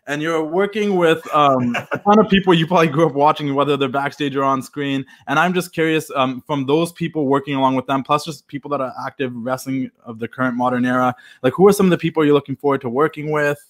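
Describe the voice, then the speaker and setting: Fast-talking man in his late twenties. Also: on the high side. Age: 20s. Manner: quick friendly interjections, laughs along. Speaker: podcast host. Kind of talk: casual interview chat. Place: indoor home room.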